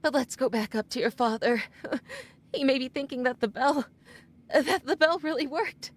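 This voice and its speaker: A woman's voice: high-pitched, normal speed, a little hiss or hum, close to an everyday voice. A woman acting a desperate, grieving middle-aged mother in an old-time radio drama, pleading and melodramatic. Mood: shaken relief. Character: desperate grieving mother clinging to supernatural hope. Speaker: actor in an audio drama.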